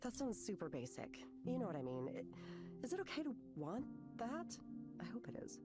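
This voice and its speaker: A woman's voice: on the high side, very animated, normal speed, over loud music. An actress playing an anxious, self-deprecating young woman in a video game, rambling nervously with a sardonic edge. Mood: hesitant, hopeful. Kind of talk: visual novel game voice acting.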